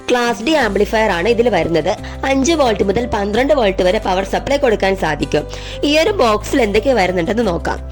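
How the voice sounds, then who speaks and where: A woman presenting a scripted product review as narration. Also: mid-range voice.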